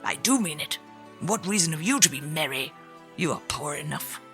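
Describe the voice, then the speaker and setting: A man's voice: a little high, lively intonation, normal speed, pushed and bright, faint music behind. Woman voicing a curt, scornful old miser. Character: cold miserly grumpy old man.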